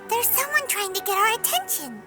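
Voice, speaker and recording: A woman voicing a small, excitable, childlike female fairy companion in an anime video game, with a squeaky voice and exclamatory delivery. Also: a little fast, soft background music, heavily acted. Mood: alert. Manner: speaks of herself in the third person, exclamatory.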